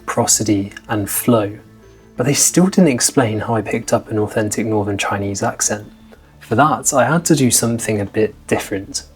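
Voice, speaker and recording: A man in his twenties, a language-learning vlogger, talking in an upbeat, motivational style. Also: fairly low pitch. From Britain. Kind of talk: scripted vlog narration.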